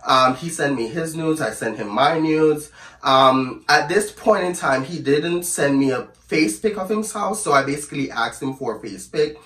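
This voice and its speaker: A man with a hoarse voice from the flu, telling a storytime to camera in a chatty, sassy, rambling way and calling his viewers "girl". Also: a little high, moderate pace, fairly bright tone, echoey room, far from the mic, poor audio. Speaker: storyteller vlogger. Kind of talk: casual storytime chat to camera.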